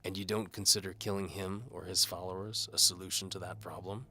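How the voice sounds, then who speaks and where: A man's voice: low-pitched, little pitch movement, a little fast, pushed and bright, exaggerated voice. A man voicing a world-weary, wisecracking knight for hire in an audio drama, with a sardonic, hard-boiled manner. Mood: probing, gritty. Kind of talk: audio drama character acting.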